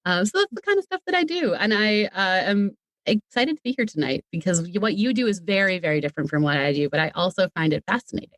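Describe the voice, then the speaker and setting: A woman's voice: medium pitch, very animated, quick pace, few pauses, no echo. A woman speaking as a podcast guest, chatting casually in a talkative, playful way. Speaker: podcast guest, audio drama creator. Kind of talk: casual interview chat.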